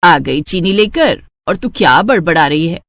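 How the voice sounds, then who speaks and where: A woman's voice: on the low side, expressive, moderate pace, fairly bright tone, no echo, voice pushed down. A woman voicing a stern, practical older village mother, scolding and matter-of-fact, in a storybook tale.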